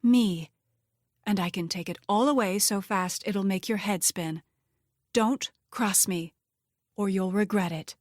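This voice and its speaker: A woman's voice: mid-range voice, measured pace, darkish tone, dry recording, clean studio sound, lightly acted. Woman narrator as a menacing, scheming criminal woman. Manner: menacing, taunting, condescending. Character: scheming, threatening, vain woman crime boss's underling.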